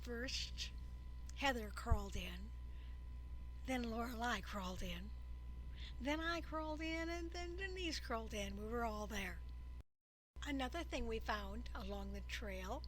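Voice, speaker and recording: An elderly woman tells a personal story she has written. Her voice sits a little high, and she speaks very slowly and deliberately, pausing often between short phrases. There is faint noise in the recording. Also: fairly bright tone, rough recording. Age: 70s or older. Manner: deliberate phrasing with frequent pauses. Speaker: storyteller narrating own story. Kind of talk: scripted personal story narration.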